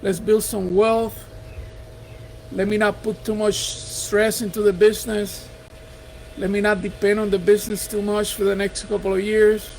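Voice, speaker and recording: A man's voice: high voice, flat delivery, moderate pace, a little mellow, a little hiss or hum, rough recording. A middle-aged man from Puerto Rico with a Puerto Rican Spanish accent, giving an earnest, encouraging motivational talk to camera about investing. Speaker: real estate investor. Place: house.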